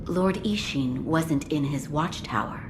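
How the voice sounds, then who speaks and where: An actress playing a calm ally who gives measured advice in a game cutscene. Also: female voice, low-pitched, noisy background. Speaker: ally giving directions. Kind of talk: video game cutscene acting.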